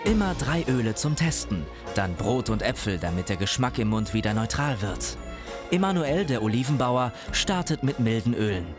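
Guy doing scripted doc voice-over, measured and a bit wry, music underneath. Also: mid-range voice, big pitch swings. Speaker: documentary narrator.

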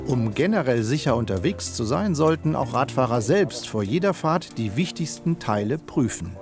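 A man narrating a local news report as voice-over, with lively, varied intonation. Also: medium pitch, normal speed, over music.

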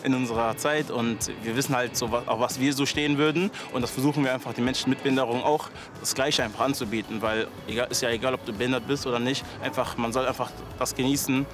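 A man's voice: medium pitch, steady tone, fast, few pauses, over music. A man in his twenties, an event agency founder, talking loosely in a casual interview with many fillers. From Germany. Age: 20s. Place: outdoors at a stadium. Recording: outdoor street noise behind.

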